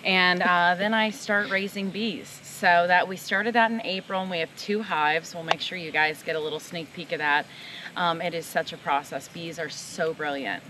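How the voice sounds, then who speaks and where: A middle-aged woman, a mixologist, chatting excitedly outdoors on a farm, recorded by a far camera. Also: on the low side, normal speed, noisy background. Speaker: mixologist and co-host. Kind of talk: casual chat.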